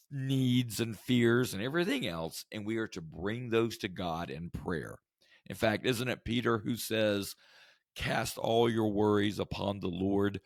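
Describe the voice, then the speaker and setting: A man hosting a devotional podcast, his voice hoarse from the flu, teaching in an earnest, conversational way with rhetorical questions. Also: lowish voice, no room sound, clean studio sound. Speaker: podcast host.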